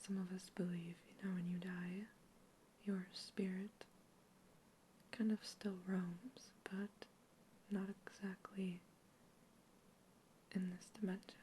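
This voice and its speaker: A soft-whispering researcher, played by a woman, politely quizzing a captive alien in a sci-fi ASMR roleplay. Her whisper stays even and flat in pitch, curious and reassuring, with faint noise behind it. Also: slow, pauses often, low-quality recording. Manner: gentle, curious, reassuring questions.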